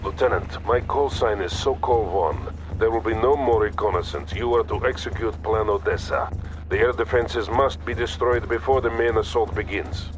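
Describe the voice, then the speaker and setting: A stern Soviet field commander voiced by a man briefs troops in a war game. His lowish voice is clipped and authoritative. Music plays behind the orders. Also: moderate pace, lightly acted, low-quality recording. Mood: stern, resolute. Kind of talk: military radio briefing.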